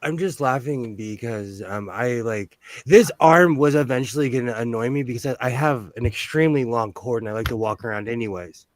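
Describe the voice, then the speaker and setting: A livestream guest chatting casually in a halting, self-deprecating way. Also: medium pitch, dry recording. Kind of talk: casual chat.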